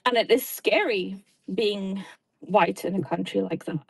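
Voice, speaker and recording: A woman's voice: medium pitch, measured pace, vocal fry, slightly rough, no echo. A woman with a South African accent in casual chat, earnestly explaining things at length. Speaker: guest.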